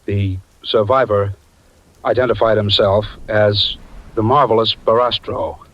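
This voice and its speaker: An actor narrating as a shaken man recalling a strange tale, measured and grave, in 1940s radio drama style. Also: lowish voice, fairly even intonation, background noise, close to an everyday voice. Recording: dull old radio broadcast.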